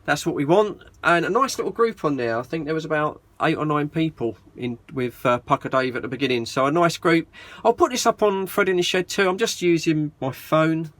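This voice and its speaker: A man's voice: a little high, fairly quick, few pauses, a bit bright, dry recording. A man hosting a hobby radio video with casual, chatty, informal commentary.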